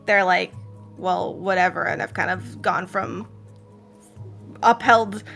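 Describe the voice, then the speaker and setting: A woman's voice: lowish voice, steady tone, slow, gravelly, fairly bright tone, faint music behind. A woman with an Israeli accent, narrating a tabletop game as game master in a casual, laughing way. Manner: laughs often, casual with fillers.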